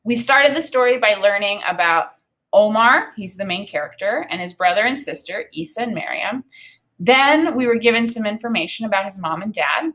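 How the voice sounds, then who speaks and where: Bright-voiced woman teacher reading aloud. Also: medium pitch, lively intonation, normal speed. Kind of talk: read-aloud lesson with think-aloud commentary. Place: small indoor room with desk and bookshelf.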